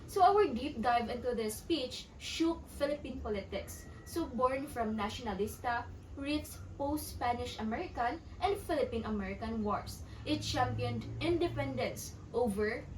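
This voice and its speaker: Female Filipino student podcast host, high register, roomy distant recording with light noise. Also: moderate pace. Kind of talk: scripted podcast hosting. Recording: distant mic, echoey room, faint noise.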